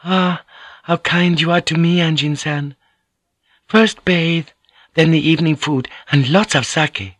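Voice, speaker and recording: A man voicing a courteous, gentle Japanese noblewoman in an audiobook, speaking softly and coaxingly. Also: a little high, measured pace, no room sound, clean studio sound, voice pushed up. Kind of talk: audiobook character voice.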